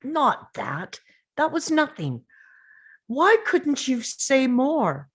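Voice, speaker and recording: A woman playing an anguished, confrontational woman in a dramatic table read, pressing for answers in a halting, interrupting way. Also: high-pitched, very animated, breathy edge, exaggerated voice. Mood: accusing.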